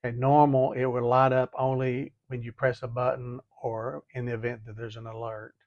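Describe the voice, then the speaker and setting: A man's voice: medium pitch, flat delivery, normal speed, no room sound, phone-quality sound. A man giving a methodical, hands-on tutorial, talking through each step and repeating as he goes. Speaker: DIY product demonstrator.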